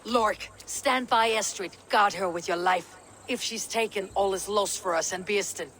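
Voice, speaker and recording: An actress playing a steadfast, commanding Viking leader in a video game, speaking in a resolute, formal manner. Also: female voice, medium pitch, moderate pace, a bit bright, a little hiss or hum, lightly acted. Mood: urgent command. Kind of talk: dramatic video game acting.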